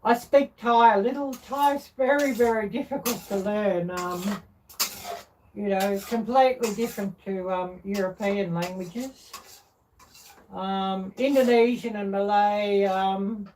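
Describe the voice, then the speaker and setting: A middle-aged woman hosts a casual cooking live stream. She talks very slowly in a medium-pitched voice, rambling and pausing to find her words. The sound is picked up from a distance. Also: darkish tone. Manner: halting, rambling, searching for words. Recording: distant mic, clear background noise.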